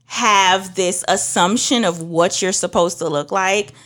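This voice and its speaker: A woman's voice: medium pitch, a little slow. A young woman from Trinidad, a minister, telling stories in casual conversation and acting out what others said. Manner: animated storytelling, acts out other voices. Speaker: minister and beauty professional.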